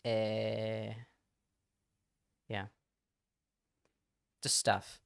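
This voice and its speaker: A man raised in Spain, speaking with an American accent, chatting casually on a livestream, rambling and animated and slipping between English and Spanish. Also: fairly low pitch, drawn-out words, gravelly, smooth. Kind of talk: casual livestream chat, answering chat questions.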